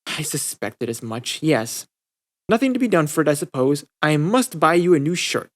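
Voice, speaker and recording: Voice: medium pitch, expressive, normal speed, close to an everyday voice. A reader voicing a refined, fussy but generous young lady, dramatic and pained, in a cartoon fan story.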